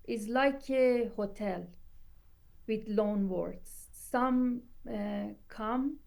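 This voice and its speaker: A woman scholar whose first language is Persian, speaking in a measured way and explaining her view through an extended comparison in a roundtable discussion. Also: a little high, gravelly, darkish tone. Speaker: scholar guest.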